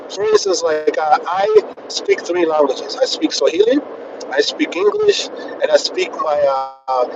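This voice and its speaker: A man from Kenya hosting a casual live stream while he rides home on the road, talking in a chatty way with lots of fillers. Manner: chatty, filler-heavy, pitch jumping up on phrases. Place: in a car on the road. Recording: dull, distant phone audio with road noise. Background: road and traffic noise.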